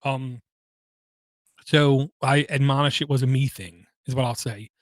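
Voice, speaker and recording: A man hosting a podcast, talking through personal matters in a halting way, with many pauses and fillers and an occasional stutter. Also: mid-range voice, steady tone, dry recording. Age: adult, has kids. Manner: halting, frequent ums and uhs, slight stutter. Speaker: podcast host. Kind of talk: personal monologue.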